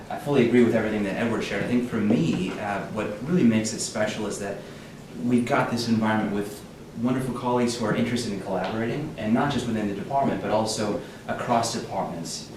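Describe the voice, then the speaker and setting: An American man, a musician, answering casually as a guest in a live interview. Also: fairly low pitch. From United States. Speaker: interview guest, pianist and composer. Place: large echoey room. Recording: echoey room, distant mic, clear noise.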